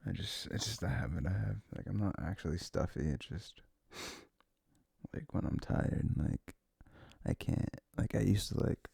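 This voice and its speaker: A man with a husky, creaky voice rambling informally and haltingly to one listener in an intimate ASMR chat, close to the mic. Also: low-pitched, dark tone, no room sound. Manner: sniffles habitually. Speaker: ASMR audio performer.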